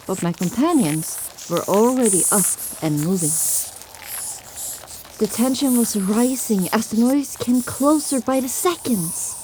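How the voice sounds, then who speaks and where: A woman's voice: fairly high pitch, a little mellow, a little hiss or hum. A woman narrating an audio drama in the first person with a dramatic, scripted delivery.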